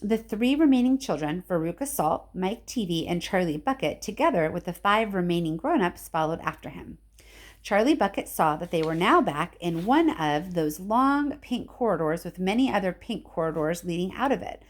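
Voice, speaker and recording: A woman's voice: lowish voice, animated, normal speed. A woman reading a children's book aloud and chatting informally and in a friendly way with her young audience. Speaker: reader addressing children. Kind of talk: read-aloud storytelling with casual chat. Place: at home. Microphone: cell phone camera.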